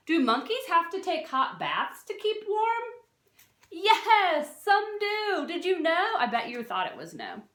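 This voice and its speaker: A woman with a high voice reads to children in a teacherly way, swooping widely in pitch. She poses questions, leans on key words and adds explanatory asides. The recording is noticeably echoey, as in a bare room. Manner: expressive, questioning, stresses and draws out key words. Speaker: teacher reading a non-fiction book aloud to children. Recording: roomy, echoey sound.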